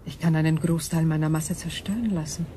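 An ancient crystal being from space acted by a woman speaks in a soft-edged, slightly low female voice. She is cool and detached, phrasing everything with formal precision. It is radio drama, with faint ambient noise behind. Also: fairly even intonation, fairly quick, close to an everyday voice. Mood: calm, proud.

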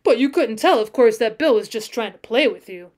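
A woman's voice: a little high, expressive, fairly quick, darkish tone, lightly acted. Woman playing a folksy, mock-mournful young cowboy. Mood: earnest, bluffing. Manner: folksy, aggrieved, insistent. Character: young cowboy, mock-mournful, earnest, bluffing a tall tale. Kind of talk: acted storybook dialogue.

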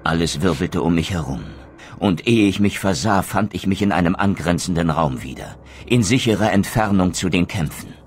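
An actor playing a bitter, solemn undead wraith in a video game, speaking in a grave, formal, theatrical way. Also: male voice, low voice, expressive, normal speed, slight background noise. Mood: grim, regretful. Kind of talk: dramatic scripted game dialogue and narration.

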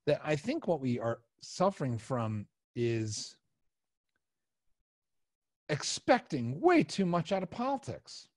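A middle-aged male podcast host in casual conversation, halting as he searches for words. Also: medium pitch, no room sound, clean studio sound. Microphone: podcast microphone and headset.

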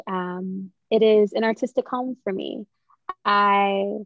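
A woman's voice: mid-range voice, dry recording. A young woman answering interview questions reflectively, searching for words as she goes. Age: young adult. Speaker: playwright, interview guest. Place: indoors in a room at home. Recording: dull, dry video-call audio.

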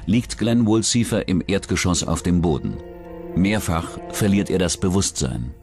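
A man narrating a scripted true-crime documentary in a measured, matter-of-fact manner. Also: deep voice. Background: background music.

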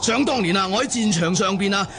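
An actor playing a pompous, clumsy army general in a radio drama, bellowing and whining with self-importance. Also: male voice, sits high, fast talker, over music. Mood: boastful warning. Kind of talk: Cantonese radio drama acting. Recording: clearly noisy radio drama soundtrack.

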